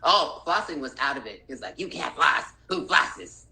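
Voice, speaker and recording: Bright-voiced, animated male guest. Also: fairly high pitch, normal speed. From Texas. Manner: animated storyteller, playful. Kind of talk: casual podcast chat.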